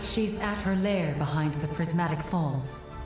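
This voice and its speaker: Solemn fantasy guide: slightly low, authoritative, formal, roomy echo, video game briefing. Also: female voice. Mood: calm, reproving. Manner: measured, authoritative, formal exposition. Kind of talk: dramatic video game acting. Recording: roomy echo, background music.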